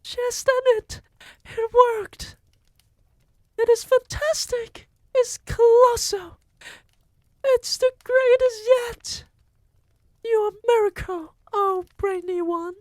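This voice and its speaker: A man voicing a crowd of witches in an audiobook, shouting shrill, fawning cheers. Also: steady tone, takes it slowly, pauses often, no echo. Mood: cheering. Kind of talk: audiobook reading.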